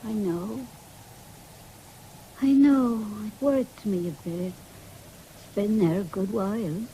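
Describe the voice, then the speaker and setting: A woman's voice: mid-range voice, takes it slowly, mellow, a little hiss or hum, natural voice, low-quality recording. An actress playing an ailing, stubborn, fretful country mother with a rural English accent in a 1970s radio drama. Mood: anxious, subdued.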